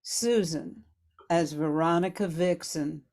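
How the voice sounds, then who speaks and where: A woman's voice: lowish voice, slow, crowd noise. A woman narrator reading scripted scene-setting for a radio drama.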